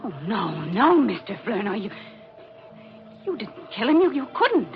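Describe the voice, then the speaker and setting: A woman's voice: mid-range voice, very animated, a little slow, soft background music. An actress playing an intense, haunted and determined woman in a 1940s radio suspense drama. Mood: horrified. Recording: muffled old radio recording.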